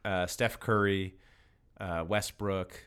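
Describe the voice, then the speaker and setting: A man's voice: low-pitched, flat delivery, takes it slowly, a bit bright, clear, resonant tone. A man hosting a podcast in casual, bantering conversation. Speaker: podcast host.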